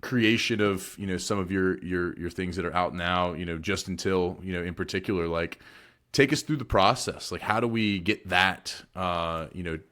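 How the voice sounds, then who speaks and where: Man, very low voice, podcast host, rambling questions, lots of "you know". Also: fairly even intonation, normal speed, a bit bright. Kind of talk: casual interview.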